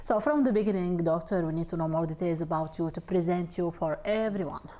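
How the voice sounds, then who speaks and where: Woman host, warm mellow voice, kinda halting simple questions. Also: fairly low pitch. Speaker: program host. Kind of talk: interview hosting.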